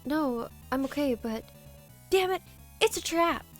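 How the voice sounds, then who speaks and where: Woman playing an earnest, high-voiced teen heroine. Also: expressive, unhurried, soft background music. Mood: alarmed. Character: wary, earnest, resolute teenage girl game heroine.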